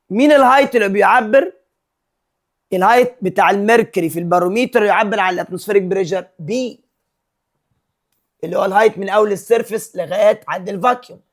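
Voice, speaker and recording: A male physics teacher with an Egyptian Arabic accent, working through exam problems step by step and mixing English terms and numbers into his Arabic. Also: sits high. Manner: mixes English terms and numbers into Arabic, reads questions slowly.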